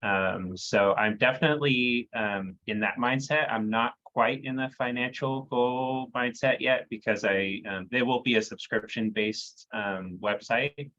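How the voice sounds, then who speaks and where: A man introducing himself on a video call in a relaxed way, with many pauses and fillers. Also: medium pitch, no room sound, highs cut off. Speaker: workshop participant.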